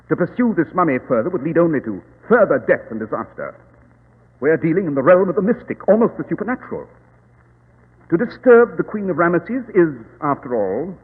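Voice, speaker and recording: An actor in a 1940s radio drama playing a shrewd, self-assured English detective, brisk, commanding and precise. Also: male voice, on the high side, husky, full character voice. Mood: grave, assured. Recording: tinny, noisy old radio recording, reverberant.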